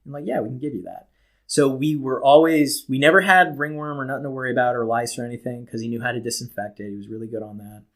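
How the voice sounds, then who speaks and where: A man's voice: medium pitch, quick pace, fairly bright tone. Rambling American man swapping casual stories. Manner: rambling, acts out quoted dialogue, laughs at his own stories. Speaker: podcast co-host telling stories. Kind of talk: casual, profane comic storytelling.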